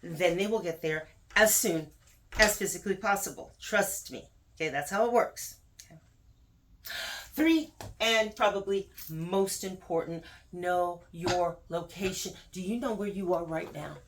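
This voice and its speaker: A woman with a slightly low voice talks casually and directly to her viewers, as a video host handing out advice. She is emphatic and conversational, often repeating words for stress. There is some noise around her voice. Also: measured pace.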